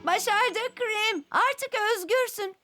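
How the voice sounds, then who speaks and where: A woman's voice: very high-pitched, slow pace. A woman voicing a kind, determined little girl in a cartoon, speaking earnestly and expressively. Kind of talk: Turkish cartoon dub acting. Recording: music behind.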